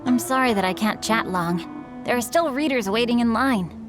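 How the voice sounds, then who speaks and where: A woman's voice: fairly high pitch, normal speed, music in the background, lightly acted. An actress playing a polite, gracious young noblewoman in an anime-style video game, with a courteous, formal manner. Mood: gracious, confiding.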